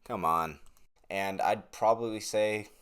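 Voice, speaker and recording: Low-voiced young man reviewing gear. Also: slow, a bit bright, clean tone. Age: young adult. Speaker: product reviewer, vlogger. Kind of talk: casual video review to camera. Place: small bedroom, indoors.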